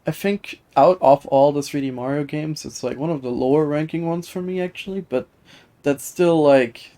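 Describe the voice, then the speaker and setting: Game streamer, mid-pitched voice, casual off-the-cuff commentary, rambling and hesitant. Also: normal speed, warm tone. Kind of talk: casual live gameplay commentary, chatty.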